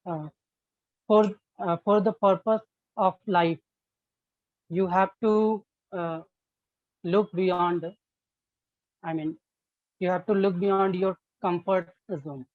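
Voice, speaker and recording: A woman, a non-native English learner, practising sentences in a speaking exercise in a hesitant, halting manner. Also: fairly low pitch, fairly even intonation, no room sound. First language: not English. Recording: muffled, narrow phone-like call audio.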